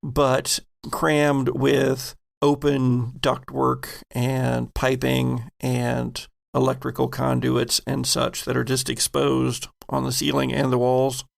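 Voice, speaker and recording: Male game master: slow, deeply creaky voice, improvised scene-setting, measured and descriptive. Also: completely flat delivery.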